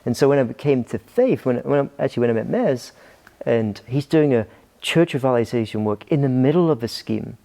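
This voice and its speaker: A Scottish pastor in middle age, talking as an interview guest. His voice is dark and gravelly, and he often hesitates and restarts his sentences. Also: medium pitch, animated, a little fast. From Scotland. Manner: hesitant, many ums and restarts. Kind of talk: casual interview talk.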